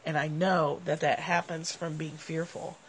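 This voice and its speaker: Middle-aged woman, nasal with a cold, casual animated livestream host on a porch, phone mic. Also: deep voice, a little slow, slight background noise, poor audio. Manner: animated, gestures a lot while talking. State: has a cold. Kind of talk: casual reflective talk to viewers. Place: covered outdoor porch. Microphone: phone at arm's length.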